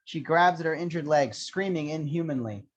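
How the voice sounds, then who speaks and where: A man's voice: fairly high pitch, normal speed. A man reading screenplay action lines as scripted narration at an amateur table read. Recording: dull lo-fi sound.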